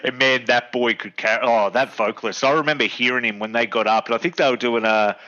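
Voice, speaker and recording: A man's voice: mid-range voice, fairly even intonation, fast talker, sharp tone. A young adult man, chatting in an agreeable, easygoing way and laughing often. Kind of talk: casual podcast chat. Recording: dull lo-fi mic.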